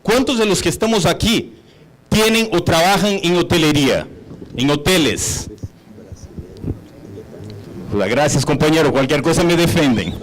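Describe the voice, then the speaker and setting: A man's voice: fairly high pitch, lively intonation, normal speed, pauses often, poor audio. A middle-aged man from Brazil, a native Portuguese speaker with a Brazilian accent, giving an informal conference talk as a panel speaker. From São Paulo, Brazil. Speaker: hotel executive, panel speaker.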